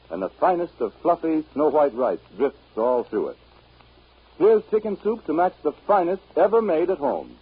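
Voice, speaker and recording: A man working as a radio announcer, reading a 1930s sponsor message with emphatic, deliberate salesman's phrasing. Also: a little high, husky. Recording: tinny 1939 radio recording.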